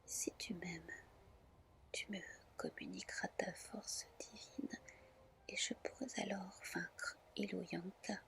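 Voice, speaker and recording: A woman voicing a small, bold mortal man who bargains, in a soft, earnest ASMR storybook reading. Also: fairly low pitch, sing-song, slow pace, creaky, pauses often, exaggerated voice. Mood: earnest, bargaining.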